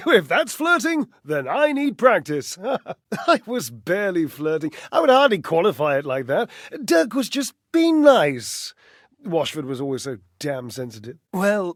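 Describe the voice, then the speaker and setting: A man's voice: high voice, no room sound, studio quality. A man voicing a vain, sensitive clothes dryer who performs on stage, a theatrical video game character with dramatic sighs and flustered stammering. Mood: defensive, sarcastic. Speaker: voice actor.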